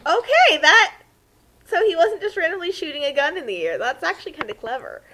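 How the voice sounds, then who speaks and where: A woman's voice: very high voice, very animated, a little fast, pushed and bright, slight background noise, low-quality recording. A woman from the United States giving casual, riffing commentary as a co-host.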